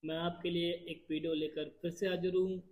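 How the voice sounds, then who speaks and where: A man's voice: high-pitched, flat delivery, a little fast, a little mellow. A young man giving gym tips to the camera, repeating key points for beginners, recorded in a large indoor gym. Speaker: gym trainer.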